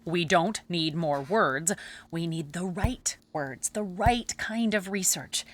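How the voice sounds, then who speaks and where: An actress playing a sharp, skeptical saleswoman in a theatrical audio musical, dismissive and challenging in manner. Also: lowish voice, takes it slowly, crowd noise. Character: sharp, skeptical saleswoman sparring with a marketing colleague. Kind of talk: theatrical musical acting, spoken and sung.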